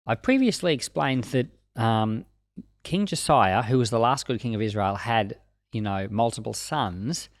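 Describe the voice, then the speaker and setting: A man's voice: medium pitch, lively intonation, measured pace, dry recording. A man reading and explaining scripture as a Bible teacher, in a plain-spoken, explanatory way. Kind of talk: scripture reading and informal commentary.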